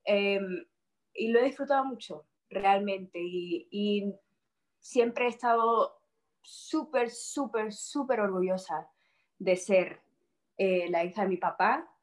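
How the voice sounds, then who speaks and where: A woman's voice: medium pitch, takes it slowly, poor audio. Hesitant young Spanish-born woman. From Spain. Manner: hesitant, repeats words while thinking. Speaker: interview guest. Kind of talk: casual interview conversation.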